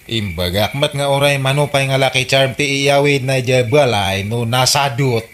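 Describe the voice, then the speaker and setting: Slow, patient Ilocano old father acted by a man. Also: medium pitch, fairly bright tone, a little hiss or hum, close to an everyday voice. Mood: advising, weary. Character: patient older father counselling his married son. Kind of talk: radio comedy drama acting.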